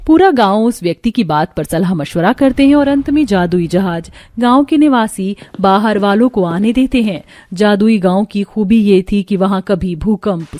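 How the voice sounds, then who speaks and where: A woman's voice: mid-range voice, dark tone, no room sound. A woman reading scripted storybook narration for a cartoon tale.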